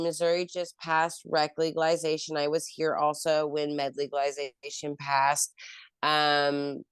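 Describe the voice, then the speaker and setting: A woman's voice: deep voice, clear, resonant tone, no room sound. A woman as an interview guest, chatting casually about her work, rambling with lots of fillers and restarts. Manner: rambling, heavy with "um" and "you know" fillers and restarts. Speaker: interview guest, cannabis company founder.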